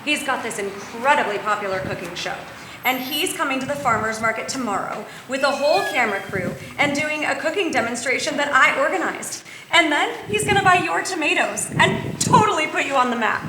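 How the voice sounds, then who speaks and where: Actress as a restless, ambitious high-voiced musical heroine. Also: female voice, animated, a little fast, noisy background. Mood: eager. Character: restless, ambitious young woman craving more urgency, farmer's girlfriend. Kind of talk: stage musical staged reading, spoken and sung. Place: live performance venue, picked up in the room. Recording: echoey live stage with loud music.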